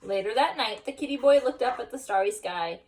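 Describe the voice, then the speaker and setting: A woman's voice: a little high, expressive, a little fast, a little mellow, slight background noise. A woman reading a children's picture book aloud as a story time narrator. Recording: distant from the mic.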